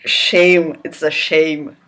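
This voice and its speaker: A woman's voice: sits low, little pitch movement, drawn-out words, a bit bright. A woman giving a theatrical, mocking dramatic reading of a novel, with incredulous asides. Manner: theatrical, incredulous, breaks off into laughing commentary. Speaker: reader.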